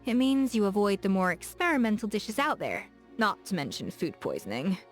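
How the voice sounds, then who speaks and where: A woman's voice: medium pitch, normal speed, natural voice. An actress playing a confident, playful young woman chef in a game, self-assured and curious, with faint music behind her. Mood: musing. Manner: self-assured, teasing, lively. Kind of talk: game character voice lines.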